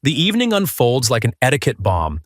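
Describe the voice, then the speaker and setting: A man's voice: mid-range voice, huge pitch swings, brisk, heavy background noise. A man hosting a video countdown, reading a scripted narration.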